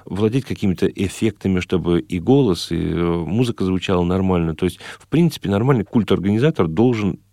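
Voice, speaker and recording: A man in his thirties with a low-pitched voice, recorded dry in a radio studio. He speaks conversationally as an interview guest, explaining things and adding rhetorical asides. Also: animated, a little fast, gravelly, hardly any pauses, dark tone, dry recording. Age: 30s. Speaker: radio guest, event organizer and singer.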